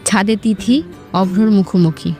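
A woman's voice: medium pitch, steady tone, measured pace, warm tone, faint music behind. A woman narrator reading a scripted romantic story in a dramatic storytelling style.

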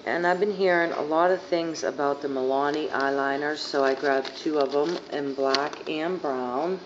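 A young woman with a hoarse, fading voice rambling casually through a makeup haul, full of fillers, filmed on a phone on her living room couch. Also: deep voice, fairly even intonation, moderate pace. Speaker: beauty vlogger. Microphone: phone camera at arm's length. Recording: dull lo-fi phone audio, faint hiss.

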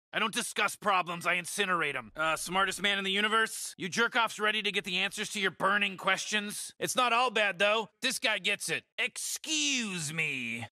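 Cartoon game villain-genius: arrogant drunken old scientist, very forceful high rasp, dry close sound. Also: male voice, no echo, full character voice. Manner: stammering, slurred, arrogant. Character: cynical, boastful, drunken old male mad scientist. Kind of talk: animated-style game voice lines.

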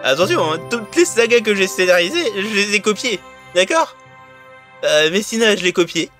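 A man's voice: high-pitched, lively intonation, normal speed, pushed and bright, faint music behind. A man playing a shameless, tipsy scriptwriter in a comic audio drama, rambling cheekily. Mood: cheeky. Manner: rambling, cheeky, mock-critical.

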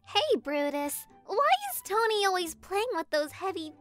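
Casting: tiny cartoon girl kitten, very high, bright, childlike, naive and eager. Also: normal speed, faint music behind. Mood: eager, cheerful. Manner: childlike, earnest, excitable, wide-eyed. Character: naive, eager, cheerful little female kitten. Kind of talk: animated comic dub acting.

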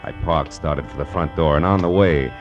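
An actor playing a terse, sardonic American private detective in an old radio crime drama. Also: male voice, very deep voice, moderate pace, muffled recording, music in the background. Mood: wry narrating. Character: hard-boiled, wisecracking private detective.

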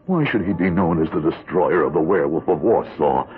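An actor playing a bitter, glory-hungry juror in a 1970s radio drama, theatrical and vehement. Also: male voice, deep voice, animated, fairly quick. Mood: indignant, desperate. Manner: theatrical, vehement, swinging from brooding to outbursts. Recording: muffled band-limited 1970s radio recording.

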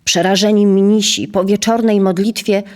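A woman serves as narrator of an audio drama. Her pitch is middling. She reads scripted prose in a measured, literary storytelling style. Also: level tone, a little mellow.

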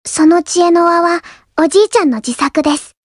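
A woman voicing a shy, polite, earnest young girl from a coffee house in an anime mobile game, soft-spoken and reserved. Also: very high-pitched, a little fast, no room sound, studio quality. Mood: gently pleased. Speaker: game character voice actor. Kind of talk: scripted anime mobile game character lines.

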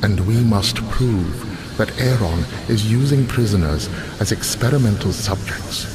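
An actor playing a solemn, earnest elf envoy in a video game, with formal, measured phrasing. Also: male voice, lowish voice, expressive, noisy background.

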